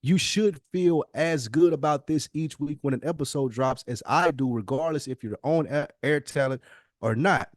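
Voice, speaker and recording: A man's voice: on the high side, moderate pace, no room sound, studio quality. A man in a conversational podcast discussion, explaining emphatically and posing rhetorical questions as a co-host.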